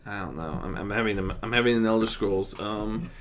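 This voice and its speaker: A man's voice: fairly low pitch, a little fast, fairly bright tone, a little hiss or hum. A man narrating fan fiction as a reader, in a dramatic but homemade storytelling style.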